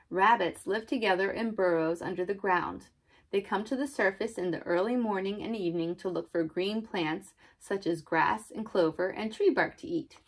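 A young woman reading a children's picture book aloud in an expressive way, as if to a small child. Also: medium pitch. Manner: expressive, child-directed, asking listeners questions.

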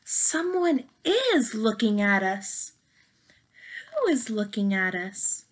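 A young woman reading a children's picture book aloud in an expressive, dramatic and playful manner. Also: mid-range voice, takes it slowly. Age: young adult. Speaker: storybook reader. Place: small bedroom, indoors.

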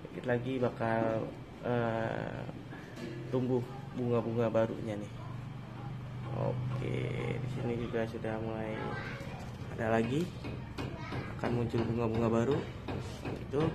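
A middle-aged man speaks slowly and loosely, his voice gravelly. He shows off his potted trees in a folksy salesman's way, referring to himself by name. Recorded on a phone outdoors, with clear noise underneath. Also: mid-range voice, flat delivery. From Indonesia. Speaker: durian grower showing his trees. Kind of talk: casual garden tour vlog.

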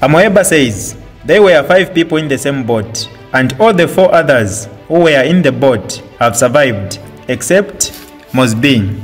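A young man with a Zambian accent hosting his channel and reading a news report in an upbeat presenter's manner. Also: medium pitch. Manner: upbeat presenter greeting viewers. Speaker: YouTube news host. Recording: faint music behind.

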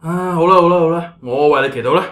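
A man's voice: fairly high pitch, quick pace, lightly acted. A man playing an exasperated, no-nonsense church leader in a comedic radio drama, blunt and colloquial, with a Hong Kong Cantonese accent. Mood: placating.

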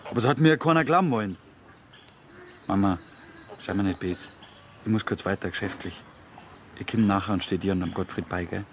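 An actor playing an accusing, hot-headed farmer's son in a radio drama, speaking rural Bavarian dialect. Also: male voice, deep voice, fairly quick, pauses often, close to an everyday voice. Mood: subdued, bitter. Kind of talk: naturalistic radio drama acting. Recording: noisy farmyard scene, off-mic at times.

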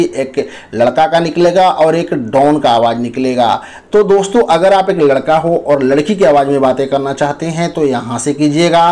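Male tutorial host, slightly high voice, informal instructional narration for online viewers. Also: a little mellow. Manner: instructional, addresses viewers as friends.

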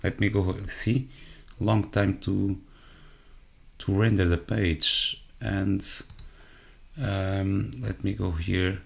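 Man, Portuguese accent, English as a second language, tech walkthrough, hesitant, lots of fillers, talks to viewers, deep voice. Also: level tone, drawn-out words, gravelly, husky. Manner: hesitant, frequent fillers and self-corrections; addresses viewers directly. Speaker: tech channel host. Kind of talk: screen-recorded software walkthrough.

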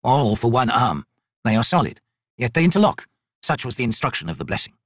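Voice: medium pitch, sing-song, no room sound, studio quality. A narrator playing a meek, nervous old male trader in an audiobook, hesitant and fussily formal. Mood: squirming, formal. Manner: slow, hesitant, formal and fussy.

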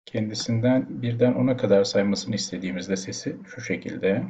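Male medical presenter, explanatory slide narration. Lower-pitched, soft dark tone. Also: fairly quick, highs cut off. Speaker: doctor presenting patient voice samples.

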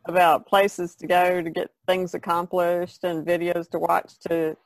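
A woman's voice: fairly low pitch, level tone, a little slow, no echo. A woman co-hosting a podcast in casual, conversational chat. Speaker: podcast co-host.

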